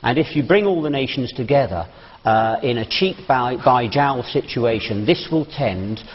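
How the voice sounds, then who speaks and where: A man speaking as a party spokesman in a televised studio debate, measured and argumentative. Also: medium pitch, lively intonation, husky. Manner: measured, argumentative, laughs off challenges. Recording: dull TV audio in a reverberant studio.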